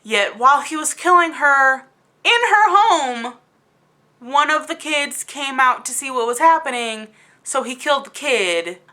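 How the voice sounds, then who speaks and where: Bright, high-voiced exasperated woman vlogger. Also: animated, unhurried. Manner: exasperated, emphatic, incredulous. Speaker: book review vlogger. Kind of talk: casual rambling video review.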